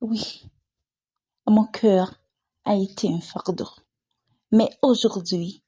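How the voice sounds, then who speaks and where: A woman performing a dramatic spoken-word monologue in short, weighted, deliberate phrases, with a soft, breathy voice. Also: mid-range voice, fairly even intonation, dull audio.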